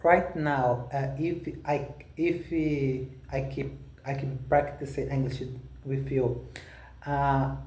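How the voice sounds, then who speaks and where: A man with a Brazilian accent, a native Portuguese speaker practicing spoken English, talking haltingly with many restarts and fillers. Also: mid-range voice, flat delivery, a little mellow, roomy sound. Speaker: language learner.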